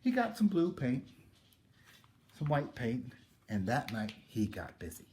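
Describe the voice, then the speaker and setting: A man reading a children's picture book aloud in a theatrical way, with dramatic pauses. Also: medium pitch, big pitch swings, a little mellow, slight background noise. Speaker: story reader.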